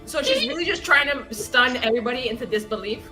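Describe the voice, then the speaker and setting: Casting: female roleplay gamer, sharp bright voice, playful dramatic storytelling, slangy. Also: fairly high pitch, expressive, fast talker, faint music behind. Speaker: tabletop game player. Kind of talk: animated improvised story narration.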